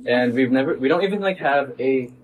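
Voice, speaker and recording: A man's voice: medium pitch, lively intonation, a little fast, recorded from a distance, lo-fi sound, slight background noise. A young man hosting a casual podcast chat, talking loosely with lots of fillers and playful quoted voices. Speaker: podcast host.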